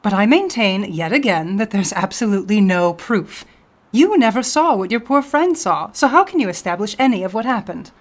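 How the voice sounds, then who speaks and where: A woman's voice: medium pitch, very animated, moderate pace. A woman playing a skeptical, argumentative intellectual in a radio drama, dry and precise in manner. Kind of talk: theatrical radio drama acting.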